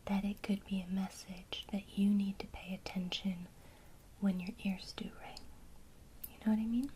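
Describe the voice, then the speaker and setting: A woman whispering softly and breathily in a gentle, encouraging way, as a teacher in an ASMR one-to-one lesson. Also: mid-range voice, slight background noise, low-quality recording.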